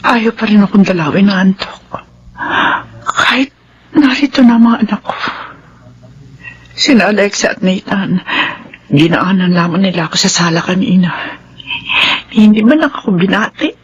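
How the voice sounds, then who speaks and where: A woman's voice: mid-range voice, moderate pace, pauses often, husky, lo-fi sound. A woman playing an emotional, guilt-ridden middle-aged mother in a Filipino radio drama. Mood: worried, lonely. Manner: emotional, melodramatic.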